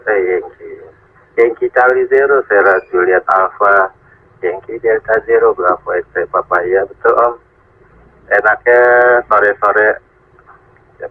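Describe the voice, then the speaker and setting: A man's voice: on the low side, husky. A man talking politely and relaxedly as a ham radio operator, spelling out call signs. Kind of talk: radio chat over a repeater. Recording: tinny radio transmission.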